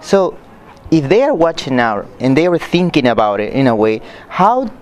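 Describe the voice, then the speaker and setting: A man conducting a conversational interview in non-native English, often halting as he searches for words. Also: mid-range voice, big pitch swings. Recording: noticeable background noise.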